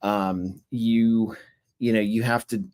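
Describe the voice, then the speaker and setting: A man hosting a webinar in a casual, halting way, with many fillers and restarts, who is losing his voice. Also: on the low side, fairly even intonation, darkish tone. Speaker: webinar host.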